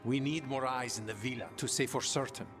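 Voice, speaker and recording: A man plays a guarded, measured spymaster in a video game. His voice is of average pitch and matter-of-fact, with music clearly behind it. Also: fairly even intonation, natural voice. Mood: cautious, measured. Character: cautious, measured male assassin spymaster. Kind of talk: video game character dialogue.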